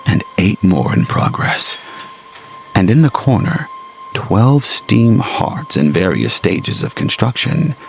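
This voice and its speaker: A man reading scripted gothic horror as a storyteller, in a dramatic, deliberate literary manner with weighted phrases. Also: on the low side, vocal fry, a little hiss or hum. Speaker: storyteller, narrator. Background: music under the narration.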